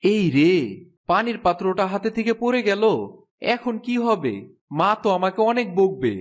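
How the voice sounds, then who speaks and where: Man voicing a high-pitched, plaintive poor village boy. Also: expressive, moderate pace. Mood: anxious. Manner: pleading, plaintive. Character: poor orphaned village boy Sumon, meek and sorrowful. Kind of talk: cartoon fairy-tale voice acting.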